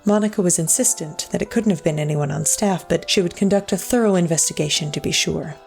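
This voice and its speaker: An actress playing a solemn storyteller, narrating a scripted dramatic tale in a measured, literary way. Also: female voice, on the low side, creaky, darkish tone, soft background music. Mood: somber. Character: solemn female storyteller of a sad ghost tale.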